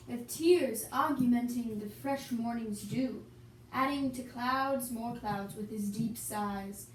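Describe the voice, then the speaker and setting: Brief: worried old lord puppet voiced by a woman, verse recital, high voice, echoey room. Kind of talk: amateur Shakespeare recitation for puppet show. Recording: echoey room, distant mic, background hiss.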